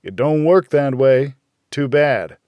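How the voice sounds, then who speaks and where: A plain-spoken, caring buddy from a noir audiobook, acted by a male reader. He is blunt and folksy, with a middle-pitched voice. The recording is dry. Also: slow pace, clear, resonant tone, no echo, muffled recording, natural voice. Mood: concerned, firm. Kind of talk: hardboiled audiobook character dialogue.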